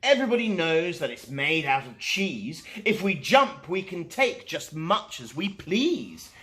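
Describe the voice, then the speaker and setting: A man voicing an excitable, bold and cheerful young boy squirrel in a storybook reading. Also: on the high side, measured pace. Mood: excited, confident.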